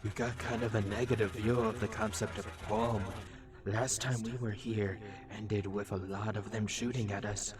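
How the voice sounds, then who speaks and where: A man's voice: lowish voice, flat delivery, moderate pace, natural voice. A man voicing a lonely, chatty robot scout in a comic dub, hesitant, rambling and eager to please, with music behind. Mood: nervous, questioning.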